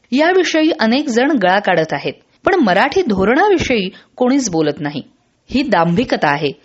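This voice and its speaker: A woman reading a scripted regional radio news bulletin in a formal, measured way. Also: fairly high pitch, dull audio.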